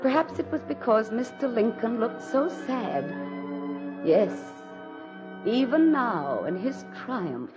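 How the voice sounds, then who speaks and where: An actress playing a proud, anxious president's wife in a theatrical, emotional 1940s radio drama style. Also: female voice, mid-range voice, close to an everyday voice. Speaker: lead actress and first-person narrator. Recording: dull 1940s radio recording with music.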